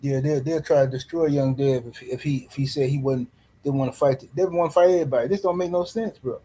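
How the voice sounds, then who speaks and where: A man's voice: medium pitch, fast, mellow. A man hosting a boxing livestream in casual commentary, loose and teasing, rambling and repeating words as he reads chat messages. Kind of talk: casual live-stream commentary.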